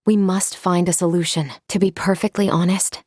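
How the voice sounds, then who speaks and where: Female android played by a woman, game character, formal and precise, slightly low pitch, dry close sound. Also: fairly even intonation, normal speed, a little mellow, dry recording, close to an everyday voice. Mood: calm, measured. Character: composed, formal female android crew member, calm and dutiful. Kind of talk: scripted video game character lines.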